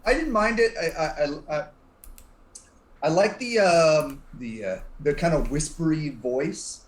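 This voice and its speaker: A man chatting casually as a panel guest, joking and halting as he finds his words. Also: on the high side, lively intonation. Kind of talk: casual chat. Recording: echoey room.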